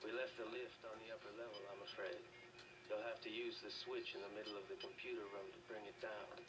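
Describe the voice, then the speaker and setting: A man's voice: on the low side, little pitch movement, fast talker, distant mic, background noise, natural voice. A man playing a mild, polite lab technician in a video game, speaking matter-of-factly. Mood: mild, informative.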